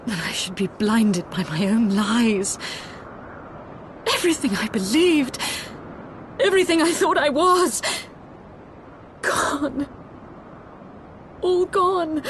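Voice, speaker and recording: A sorrowful rebel leader speaks formal, dramatic fantasy game dialogue. Her high voice moves slowly, with faint noise behind it. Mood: anguished. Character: proud idealistic female rebel leader, sorrowful, disillusioned. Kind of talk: archaic fantasy game cutscene acting.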